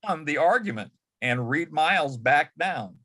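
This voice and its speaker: A man telling anecdotes as a guest on a panel, in a halting way, often repeating words and restarting his sentences. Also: fairly high pitch, expressive, pushed and bright. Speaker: panel guest, former personal assistant to an entertainer. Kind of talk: anecdotal storytelling in a panel interview.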